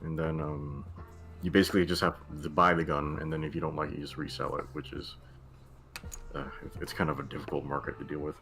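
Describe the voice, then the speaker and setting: A man's voice: very deep voice, level tone, quick pace, creaky, a bit bright, soft background music. A man streaming a casual hobby session, talking loosely to his chat with lots of fillers. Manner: laid-back, rambling with many fillers.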